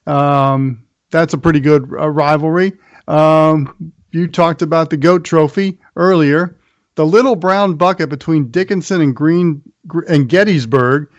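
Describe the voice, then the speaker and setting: Higher-pitched American male sports host, dry sound. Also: fairly even intonation, unhurried, no room sound, lo-fi sound. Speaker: sports radio show host. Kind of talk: sports round-up, partly scripted narration.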